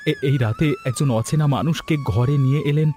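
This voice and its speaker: A man's voice: fairly high pitch, normal speed, warm tone, natural voice. A man voicing an injured, polite stranger in a Bengali cartoon story, feeble and in pain. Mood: grateful, weak.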